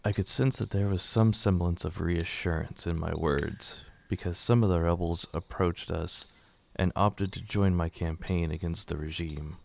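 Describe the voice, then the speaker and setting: A man reading scripted radio drama narration in a measured, serious manner. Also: deep voice, fairly even intonation, creaky, a little mellow, a little hiss or hum.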